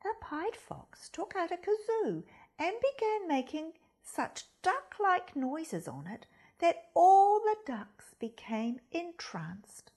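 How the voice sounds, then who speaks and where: A woman reading a children's story aloud as a school storyteller, expressive and deliberate, speaking directly to young listeners. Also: extremely high pitch. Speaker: storytime reader at a primary school.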